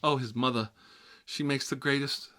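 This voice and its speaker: A man's voice: mid-range voice, steady tone, moderate pace, sharp tone, natural voice. A man playing a whiny, foul-mouthed grown son who lives with his mother, complaining and rambling in a comedy sketch. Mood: grumbling. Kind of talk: improvised comedy roleplay sketch.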